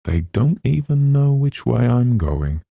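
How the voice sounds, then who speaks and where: A man's voice: medium pitch, very animated, a little slow, creaky, warm tone, no room sound, pitched above the performer's own voice, heavily acted. A man voicing a timid, dreamy woman who talks earnestly to herself in an audiobook novel. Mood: quietly elated. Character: timid, dreamy woman, secretly elated at escaping.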